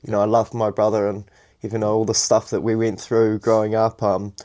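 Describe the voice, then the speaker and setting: A young man co-hosting a podcast, talking calmly and thoughtfully, with lots of fillers. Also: fairly low pitch, brisk. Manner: thoughtful, calm, many fillers. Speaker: podcast co-host. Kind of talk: casual chat.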